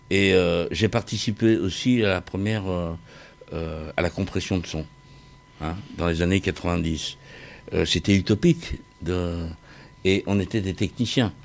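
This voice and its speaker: The deep-ish voiced middle-aged man at a public debate who takes the floor and presses his point haltingly. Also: gravelly. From Vietnamese origin. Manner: halting, repeats phrases, insistent. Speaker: discussion participant, former craftsman and sound technician. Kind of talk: impassioned opinion in a group discussion.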